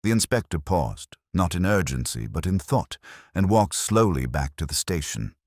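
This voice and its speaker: A man narrating a literary mystery story in a measured, formal way. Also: sits low, big pitch swings, dry recording, studio quality.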